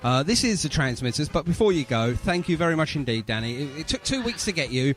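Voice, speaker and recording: A man's voice: a little high, very animated, quick pace, over loud music. A man hosting a casual, chatty radio interview, effusive with praise and full of jokey banter. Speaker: radio host.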